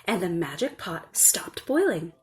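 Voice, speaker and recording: A woman storyteller reading a scripted children's tale in a measured, expressive way. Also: fairly low pitch.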